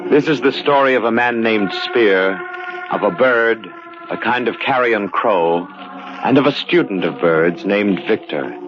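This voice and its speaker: An actor playing the solemn, mysterious narrator of a radio horror anthology, speaking portentously with deliberate, heavy pauses. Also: male voice, fairly low pitch, animated. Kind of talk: dramatic 1940s-style radio horror narration. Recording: muffled old radio broadcast. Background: music.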